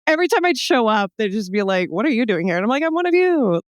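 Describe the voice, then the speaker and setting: A young American woman chatting casually as a podcast guest, telling humorous anecdotes in an animated, joking way and acting out bits of dialogue. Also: on the high side, fast talker, dry recording, studio quality. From Texas, United States. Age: young adult. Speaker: podcast guest, comedy show founder.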